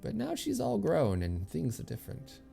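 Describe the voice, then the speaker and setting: A kindly old nursemaid, as acted out by a man reading a story, wistful and fond. The voice is creaky and mellow, low for a narrator, with music playing behind it. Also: huge pitch swings, moderate pace, exaggerated voice. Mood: wistful, confiding.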